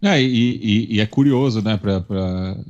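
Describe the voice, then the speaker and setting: A man from São Paulo with a Paulistano accent, talking in a halting way full of fillers as a podcast co-host in a casual panel chat. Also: fairly low pitch, darkish tone, lo-fi sound. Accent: Paulistano Brazilian Portuguese. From São Paulo, Brazil. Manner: halting, many fillers and restarts.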